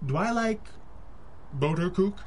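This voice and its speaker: A man's voice: sits high, lively intonation, unhurried. An actor playing an urbane, authoritative male hero with a dry, polished manner in a radio drama. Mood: sheepish, polite. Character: urbane, commanding male masked hero boss. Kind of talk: 1930s radio drama acting.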